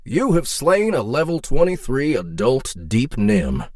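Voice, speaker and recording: A man's voice: mid-range voice, huge pitch swings, measured pace, a little mellow, dry recording, studio quality. A man voicing a game system announcer declaring rewards and levels in a fantasy audiobook. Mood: grandly announcing.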